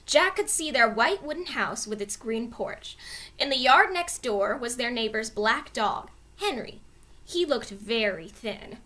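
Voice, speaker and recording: Female storybook reader: expressive narration, very lively pitch movement, bright tone. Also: a little slow.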